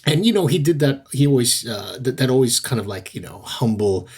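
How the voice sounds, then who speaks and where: Husky guy telling stories in a chill chat, does little voices when he quotes people. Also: mid-range voice, brisk. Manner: animated storyteller, acts out quoted voices, laughs easily. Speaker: co-host, jazz bar hunter. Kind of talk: casual conversational podcast chat.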